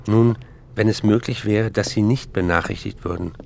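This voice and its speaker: An actor playing an anxious prisoner in a radio play, giving an account of himself in a halting, pleading manner. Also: male voice, on the low side, vocal fry, darkish tone, a little hiss or hum.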